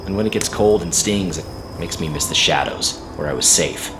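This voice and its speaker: An actor playing a wounded, defiant patriotic intelligence agent in an audio drama, speaking with deliberate intensity. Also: male voice, low-pitched, close to an everyday voice. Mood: brooding, earnest. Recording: clear background noise.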